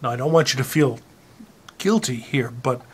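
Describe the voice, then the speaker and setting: A presenter with a halting, hesitant, deadpan delivery, speaking in a mock-serious way while sharing a piece of writing aloud. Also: mid-range voice, breathy edge. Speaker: presenter reading a found article aloud. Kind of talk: rambling deadpan introduction and mock-serious dramatic reading.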